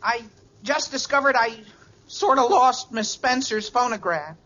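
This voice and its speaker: Hapless, earnest teen son, old radio comedy, high piercing voice, muffled vintage recording. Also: male voice, expressive, a little slow, bright, forceful tone, heavily acted. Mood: sheepish, anxious. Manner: hedging, sheepish, earnest. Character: earnest, hapless teenage boy, sheepish son.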